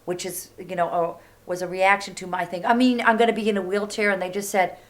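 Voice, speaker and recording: A woman's voice: mid-range voice, steady tone, brisk. A woman in her forties from New York, a patient, speaking candidly in a documentary interview. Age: 40s.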